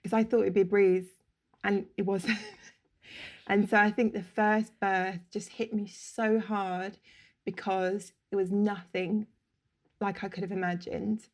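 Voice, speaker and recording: A woman, telling her story as a podcast guest, open and quick to laugh, speaking over a video call. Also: medium pitch, flat delivery, a little mellow. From England. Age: 30s. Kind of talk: casual interview chat. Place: home room, indoors.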